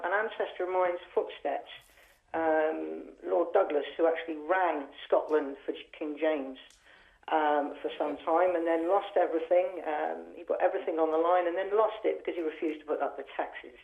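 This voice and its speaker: A man's voice: on the high side, steady tone, moderate pace, creaky. A man calling a radio phone-in and speaking in an eager, self-assured way. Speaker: caller. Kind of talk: phone-in call. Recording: tinny echoey phone line.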